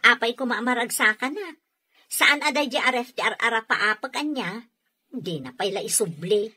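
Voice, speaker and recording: A woman's voice: fairly high pitch, lively intonation, moderate pace, sharp tone, breathy edge, full character voice. A woman playing a complaining, hard-to-please housewife and elder sister in a radio comedy drama. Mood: sour, unimpressed.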